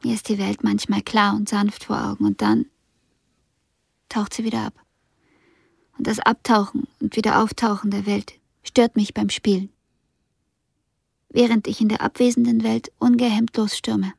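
An actress playing a cool, wry young concert pianist in a period radio drama, soft-spoken and guarded, with a breathy voice. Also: female voice, mid-range voice, flat delivery, moderate pace, long pauses, no echo, clean studio sound. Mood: reflective. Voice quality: breathy, husky with creak. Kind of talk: German radio drama acting.

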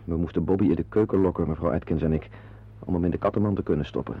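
A man's voice: low voice, very fast, husky. A man playing a courteous, wry, articulate driver in a radio drama. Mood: apologetic. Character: courteous man driving his cleaning lady and pets. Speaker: radio play actor and narrator. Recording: muffled radio play with background noise.

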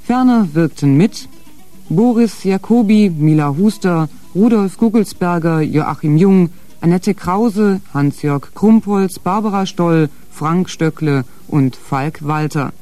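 A male announcer reads radio drama credits in a scripted manner. His voice is fairly high, mellow and slow, with faint guitar behind. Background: guitar music.

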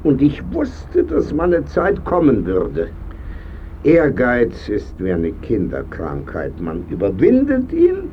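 Old blind artist, bossy and wistful, slow talker, radio drama, kinda dull sound with music under it. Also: male voice, mid-range voice, huge pitch swings, slight background noise. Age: older. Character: old, nearly blind, famous male painter, imperious and wistful. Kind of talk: German radio drama acting.

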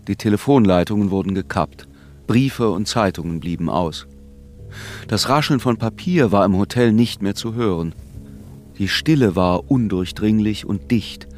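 A man's voice: on the low side, darkish tone, close to an everyday voice. A man narrating a bleak literary radio drama in a somber manner.

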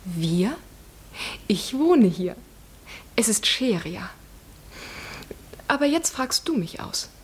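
An actress playing a shy, guarded young island princess in a 1950s German radio drama, soft-spoken, with simple, reserved replies. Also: female voice, mid-range voice, big pitch swings, takes it slowly, pauses often, a little hiss or hum.

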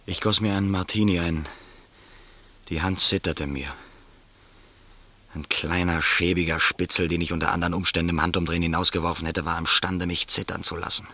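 A man's voice: deep voice, fairly even intonation, fairly quick, pushed and bright, full character voice. An actor playing a hunted male swindler who narrates in first person and snaps back curtly in a radio play. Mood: brooding narration. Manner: curt and defensive in dialogue, brooding in narration. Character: hunted male American swindler, tense and fearful.

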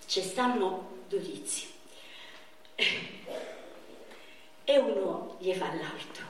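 A woman's voice: medium pitch, darkish tone, lightly acted. A woman on stage playing a very skinny, self-mocking young man from Rome, telling jokes in a declamatory, repetitive way with a Roman Italian accent. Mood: wry, reflective. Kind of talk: theatrical stage monologue. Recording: echoey stage recording from far off, noisy.

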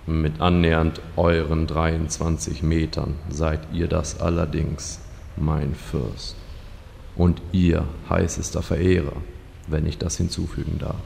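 An actor playing a dour, deferential servant of a fire lord in a comedic fantasy audio drama, speaking formally and deadpan. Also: male voice, extremely low pitch, slow, gravelly, heavily acted. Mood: dry, solemn. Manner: formal, deadpan, solemn courtly phrasing. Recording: long hall reverb, faint noise.

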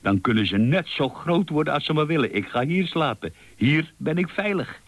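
A man plays a fretful storybook owl, easily alarmed and dramatic. The husky voice swoops widely in pitch, heard very dry and close. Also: animated, normal speed, no echo. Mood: resigned. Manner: dramatic, exclaiming.

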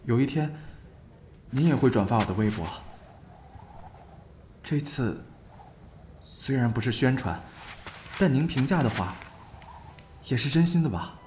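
A man's voice: mid-range voice, expressive, unhurried, long pauses, darkish tone, a little hiss or hum, natural voice. A man playing an earnest, deferential young actor in an audio drama, speaking politely and hesitantly. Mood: shy, earnest. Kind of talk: acted dramatic dialogue.

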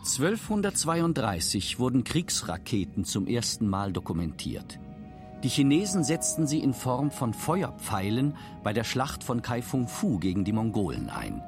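A man's voice: mid-range voice, very animated, measured pace, over music. A man narrating a scripted documentary in formal, complete sentences.

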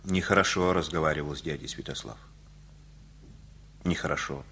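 An actor in a radio play as a kindly, folksy older male neighbour who preaches in an avuncular, colloquial way with a husky voice. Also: a bass voice, moderate pace, pushed and bright, a little hiss or hum, exaggerated voice. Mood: earnest admonishing.

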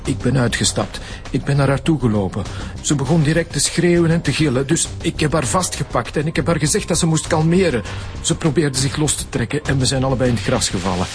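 A man's voice: medium pitch, lively intonation, brisk, music in the background, natural voice. Defensive middle-aged Flemish father, played by a man. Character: defensive, bitter father suspected of killing his daughter. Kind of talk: naturalistic radio drama acting.

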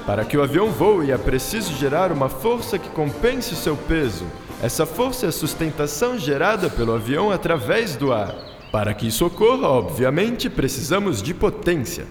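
A man's voice: fairly high pitch, big pitch swings, normal speed. A man playing a courteous, proud Brazilian inventor-aviator in a radio drama, explaining science theatrically with French words sprinkled in. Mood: enthusiastic, explaining. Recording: roomy reverberant sound with music behind.

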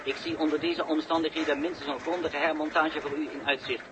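An actor playing a pedantic robot guard in a 1960s radio play, with stiff, formal, bureaucratic diction. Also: male voice, a little high, level tone, fairly quick, lo-fi sound, a crowd in the background, natural voice. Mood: officious, unemotional. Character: pedantic officious robot guard escorting human prisoners. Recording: very noisy old radio recording.

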